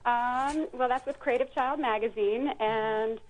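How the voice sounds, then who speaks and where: A woman's voice: fairly high pitch, fairly even intonation, a little slow. A woman guest, a children's book creator, explaining products conversationally over a phone line. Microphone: telephone. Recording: thin phone line call.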